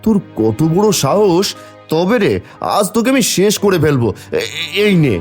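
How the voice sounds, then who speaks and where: A hot-tempered, suspicious village husband from a cartoon folk tale, played by a male actor. His voice is fairly high and swings up and down dramatically, with some music underneath. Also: sing-song, normal speed, darkish tone. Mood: furious.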